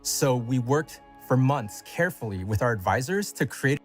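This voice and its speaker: A man's voice: mid-range voice, expressive, moderate pace, soft background music. A man delivering a scripted announcement as a company co-founder addressing a community.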